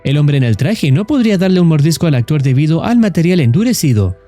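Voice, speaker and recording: A man's voice: fairly high pitch, big pitch swings, quick pace, warm tone. A man reading scripted narration as the narrator of a horror story recap video. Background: background music.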